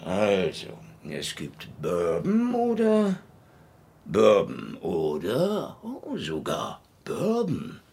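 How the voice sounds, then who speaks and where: An actor playing a grumpy old hard-drinking private detective in a hardboiled radio drama, sardonic and world-weary. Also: male voice, sits low, wildly swooping pitch, very slow, darkish tone, slight background noise, heavily acted. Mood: sardonic, dismissive. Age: older. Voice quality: gravelly. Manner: sardonic, grumbling, drawling. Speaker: lead actor and first-person narrator.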